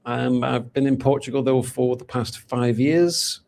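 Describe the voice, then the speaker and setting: A man's voice: mid-range voice, steady tone, measured pace, vocal fry, darkish tone, a little hiss or hum. A man from England with a Manchester accent, answering interview questions in a chatty way with lots of fillers and restarts. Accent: Mancunian English. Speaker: golden visa specialist.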